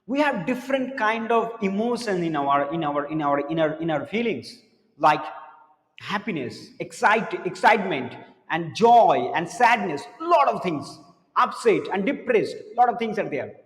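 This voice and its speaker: Earnest male English teacher, high voice, echoey reverberant room. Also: very animated, measured pace. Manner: earnest, repeats words, drops voice low for serious points. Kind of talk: motivational lesson to camera. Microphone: camera mic, speaking to camera.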